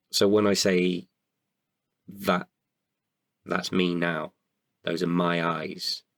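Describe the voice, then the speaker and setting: A man with a very deep voice and a creaky edge speaks as an interview guest. He is open and introspective, picking his words hesitantly. The recording is close and dry. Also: no room sound. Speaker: podcast guest, writer and performer. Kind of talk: reflective casual interview talk.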